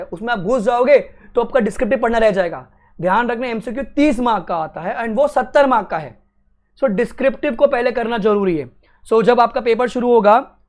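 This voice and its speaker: A young Indian man teaching exam strategy to students, talking in an energetic motivational way and mixing Hindi with English. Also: sits high. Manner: energetic, switches between Hindi and English, addresses students as kids.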